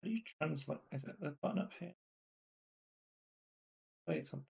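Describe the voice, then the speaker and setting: A man's voice: fairly high pitch, lively intonation, a little fast, vocal fry. Chatty man rambling with fillers. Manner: rambling, many fillers and restarts. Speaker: podcast co-host. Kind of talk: casual gaming news chat. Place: home room, live stream.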